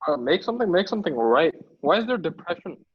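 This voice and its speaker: A man's voice: fairly high pitch, big pitch swings, fast talker, husky. A young man bantering in a casual podcast, joking and slangy. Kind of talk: casual banter on video call. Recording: dull lo-fi mic.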